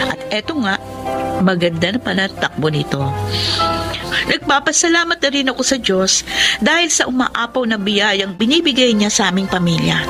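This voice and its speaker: A woman's voice: medium pitch, close to an everyday voice. Earnest young daughter in a radio drama, acted by a woman. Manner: earnest, heartfelt delivery. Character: devoted, grateful young adult daughter, former radio DJ. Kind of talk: Filipino radio drama acting and narration.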